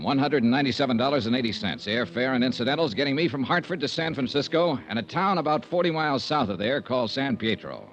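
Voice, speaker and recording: An actor playing a wry, persistent insurance investigator in a 1950s American radio drama, with a clipped, conversational manner. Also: male voice, medium pitch, lightly acted. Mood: businesslike. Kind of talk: 1950s radio detective drama acting and narration. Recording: dull vintage 1950s radio recording.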